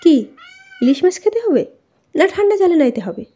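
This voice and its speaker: A woman plays a cheeky, bold maidservant from a storybook palace. Her voice is high and mellow, with glib, plain-spoken delivery on a dull recording. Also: sing-song, normal speed. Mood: teasing. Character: bold, teasing maidservant of a royal harem. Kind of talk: audiobook character reading.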